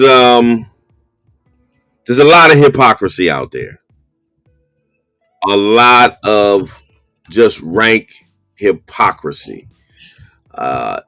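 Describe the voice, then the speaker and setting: A man hosting a call-in radio show, talking in a measured way with many pauses and ums. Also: fairly low pitch, fairly bright tone, no echo, studio quality. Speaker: radio talk show host.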